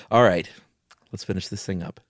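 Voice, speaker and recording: A man's voice: low voice, unhurried, dry recording, clean studio sound. An American man co-hosting a podcast, chatting casually and reading horror fiction aloud. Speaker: podcast co-host. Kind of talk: casual chat and dramatic reading of a horror story. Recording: music clearly under the voice. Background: music.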